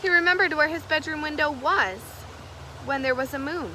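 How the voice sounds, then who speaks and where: A woman reading a children's storybook aloud in an expressive storytelling manner, stressing key words. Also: sits high, moderate pace, slight background noise, poor audio. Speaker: storybook reader. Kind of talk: children's read-aloud, scripted narration.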